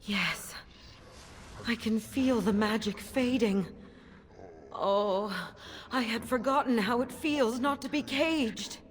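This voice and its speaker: An actress playing a sly, possessive demon in cat form, speaking formally and with measured coaxing in a video game. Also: female voice, on the high side, a little hiss or hum. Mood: gloating relief.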